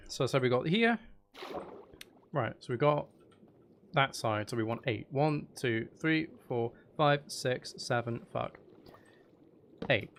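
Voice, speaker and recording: Male streamer, medium pitch, casual and playful, thinking aloud as he plays, slight background noise. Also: animated, slow pace, creaky, pauses often. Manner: mutters and counts aloud to himself; playful, self-deprecating, sweary. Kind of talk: casual gameplay commentary while building.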